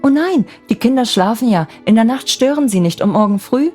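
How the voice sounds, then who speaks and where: An excitable, warm-hearted young housekeeper from a storybook fairy tale, acted out by a woman narrator. The voice lifts well above the storyteller's own, with emphatic, eager exclamations. Music plays underneath. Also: fairly high pitch, fairly quick, pitched above the performer's own voice, close to an everyday voice. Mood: concerned, bustling.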